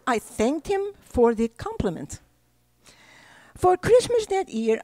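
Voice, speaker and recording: An older woman from Portugal, a native Portuguese speaker, reading slowly and deliberately from her own book at a library talk. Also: sits high, darkish tone, no room sound. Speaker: author. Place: library presentation room.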